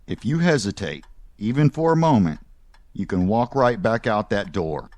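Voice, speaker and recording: An actor playing a menacing, controlling crime boss who gives commands in a cold, deliberate way in an audio drama. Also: male voice, on the low side, expressive, a little mellow, no echo, close to an everyday voice. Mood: stern command.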